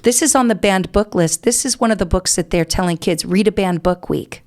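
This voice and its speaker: A woman's voice: medium pitch, brisk, darkish tone. A middle-aged American woman answering as an interview guest, explaining things earnestly in a conversational way.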